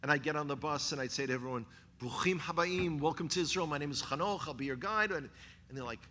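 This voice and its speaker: A man with a Bronx New York accent giving a church talk, animated and cracking jokes to the congregation. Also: on the high side, quick pace, a little hiss or hum. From New York, USA. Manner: animated, joking asides to the congregation. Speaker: guest speaker, former tour guide. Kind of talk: sermon-style talk. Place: church hall. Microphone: handheld microphone.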